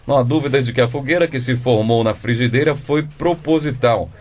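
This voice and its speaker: A man's voice: mid-range voice, brisk, darkish tone, lightly acted. A Brazilian man playing a pompous, sarcastic husband and father, a schoolteacher, in a comic radio drama, theatrical and wordy. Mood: mock-dramatic reading.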